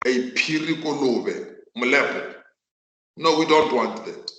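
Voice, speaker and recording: A South African man whose mother tongue is Northern Sotho, teaching a virtual class in an emphatic, deliberate way and repeating phrases for effect. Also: medium pitch, a bit bright. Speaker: accounting teacher. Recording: echoey room.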